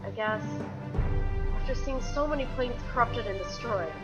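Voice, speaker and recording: A woman's voice: a little high, normal speed, muffled recording, over loud music, close to an everyday voice. A woman playing a weary, guilt-ridden warrior and reluctant champion, telling her story earnestly in a fan-made fantasy drama. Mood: reflective. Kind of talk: dramatic dialogue in a fan-made motion comic.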